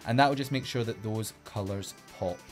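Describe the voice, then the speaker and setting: A man's voice: fairly low pitch, a little fast, music in the background. A man with a Glaswegian Scottish accent, a drag queen hosting a makeup tutorial in an upbeat, playful way and giving step-by-step instructions. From Glasgow, Scotland.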